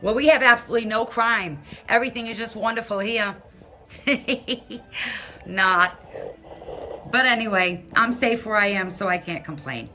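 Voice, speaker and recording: A middle-aged woman from Massachusetts with a non-rhotic local accent, chatting casually on a phone live stream from her home, loud and talking to viewers by name. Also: mid-range voice, normal speed. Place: home, by a window. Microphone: phone held at arm's length.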